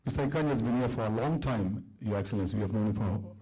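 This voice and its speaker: A man's voice: lowish voice, rapid-fire, dark tone, breathy edge, rough recording. A man acting as master of ceremonies, formally introducing speakers. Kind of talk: formal event hosting.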